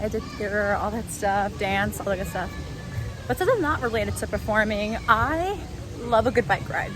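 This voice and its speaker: A woman's voice: a little high, poor audio. A woman in her twenties from New York, chatting upbeat to viewers while walking through a theme park. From Queens, New York. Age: 20s. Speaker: vlogger. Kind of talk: casual vlog chat. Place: outdoors at a busy theme park. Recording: outdoor crowd noise and park music.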